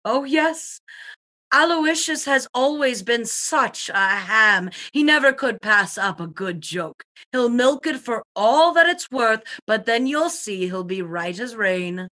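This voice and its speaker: Bright, breezy, haughty widow. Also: female voice, on the high side, animated, takes it slowly, full character voice. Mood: breezy denial. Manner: airy, grandly dismissive, punning. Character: breezy, haughty newly widowed society wife, unbothered. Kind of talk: comic radio murder mystery.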